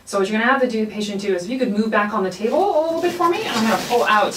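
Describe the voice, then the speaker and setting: A woman's voice: fairly high pitch, animated, rapid-fire, heavy background noise. A woman clinical instructor explaining an exam demonstration step by step. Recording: roomy echo, distant mic.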